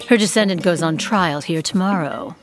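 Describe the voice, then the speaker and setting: A woman's voice: fairly low pitch, moderate pace, over music, lightly acted. A woman playing a wry, knowing witch in an audio drama, with a dry manner. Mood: dry, amused. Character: wry, unhurried witch plotting among townsfolk. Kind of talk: audio theatre acting.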